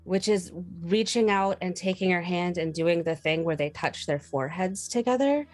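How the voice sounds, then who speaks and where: A woman's voice: on the low side, dry recording. A woman playing a tabletop game, casually describing what her character does.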